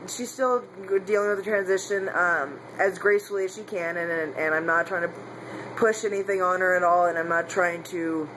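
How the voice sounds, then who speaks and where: A man's voice: sits high, fairly even intonation, moderate pace, pushed and bright, a little hiss or hum, low-quality recording. A man talking candidly in a casual personal vlog, rambling with frequent restarts and self-corrections. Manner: candid, confessional. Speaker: vlogger.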